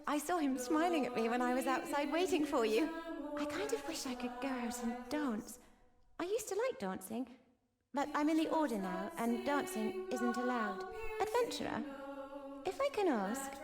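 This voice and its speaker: An actress voicing an eager, naive young novice nun in a video game, speaking in a hesitant, earnest, apologetic way. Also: female voice, high-pitched, big pitch swings, moderate pace, over music. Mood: excited, apologetic. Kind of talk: voiced video game dialogue.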